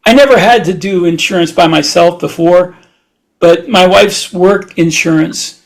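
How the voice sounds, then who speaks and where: A man's voice: sits high, a little slow, gravelly. A man co-hosting a podcast in casual conversation. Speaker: podcast co-host. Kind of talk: casual chat.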